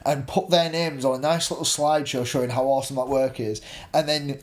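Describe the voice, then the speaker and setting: A man's voice: medium pitch, steady tone, fairly quick. A man giving his opinions in a casual, conversational video game discussion.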